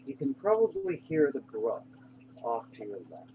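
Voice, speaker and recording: A man narrating a guided meditation in an unhurried, reassuring manner, pausing between short phrases. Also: mid-range voice, big pitch swings, narrow, tinny audio. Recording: clear background hum and noise.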